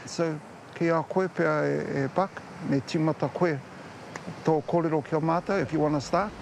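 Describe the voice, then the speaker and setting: An older man with a Māori New Zealand English accent speaks slowly and ceremonially at a gathering, in a high voice. Clear outdoor noise sits behind his words. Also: fairly even intonation. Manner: measured, ceremonial, mixes in Māori phrases.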